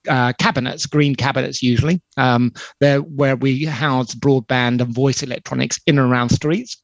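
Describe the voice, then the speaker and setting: British man, gravelly, on a video call. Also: medium pitch, dry recording. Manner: measured, explanatory, frequent ums and restarts. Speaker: telecom company spokesperson. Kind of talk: explanatory interview answers. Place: small indoor room on a video call. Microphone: headset. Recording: dull lo-fi video call audio.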